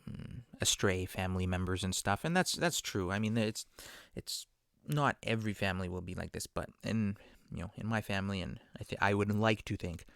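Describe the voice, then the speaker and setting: A man speaks in a casual podcast monologue, wandering from point to point with plenty of asides. His voice sits on the low side and has a gravelly, fry-tinged texture. The sound is dry, with no room in it. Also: fairly quick, a bit bright, no room sound. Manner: rambling, self-deprecating; conversational, many fillers and asides.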